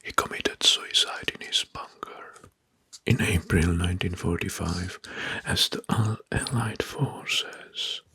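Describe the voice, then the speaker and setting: Whispering male ASMR host, very breathy. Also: low voice, fairly even intonation, no echo. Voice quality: breathy, mostly unvoiced whisper. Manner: hushed, deliberate, repeating key phrases softly. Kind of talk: whispered ASMR history narration. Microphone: close microphone.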